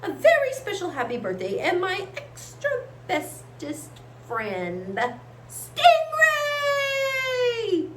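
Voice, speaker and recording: A woman voicing an excitable, affectionate little birthday girl in a storybook, gushing her announcements. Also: very high-pitched, drawn-out words, pitched above the performer's own voice, exaggerated voice. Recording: echoey room with faint hiss.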